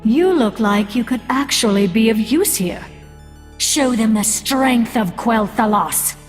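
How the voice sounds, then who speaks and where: Undead elf queen, game character, female: higher voice, heavy reverb, cold, imperious, commanding. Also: unhurried, soft background music. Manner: imperious, coolly dismissive. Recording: long reverb on voice.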